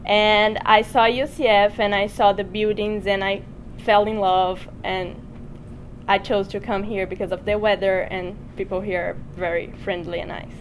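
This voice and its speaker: Woman from Brazil, freshman panelist, earnest and simple, mid-pitched, faint rumble. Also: level tone, moderate pace, poor audio. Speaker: student panelist. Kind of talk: panel discussion answers.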